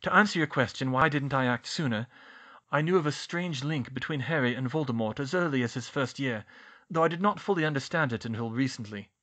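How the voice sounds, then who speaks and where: A man voicing a calm, wise, old male headmaster wizard, speaking in a measured, formal way. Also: medium pitch, natural voice.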